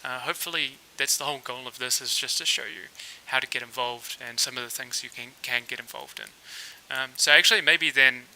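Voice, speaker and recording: A man's voice: medium pitch, a little fast, hardly any pauses, a little hiss or hum, poor audio. A man from New Zealand with a New Zealand accent hosting a casual live coding stream, thinking aloud with plenty of fillers as he works. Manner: relaxed, thinks aloud with fillers while working. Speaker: developer livestream host.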